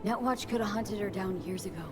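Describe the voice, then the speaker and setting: A woman's voice: medium pitch, fairly quick, close to an everyday voice. An actress playing a tough, wary mercenary woman in a video game, talking in a blunt, clipped, streetwise way. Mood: probing. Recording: game audio with clear background music.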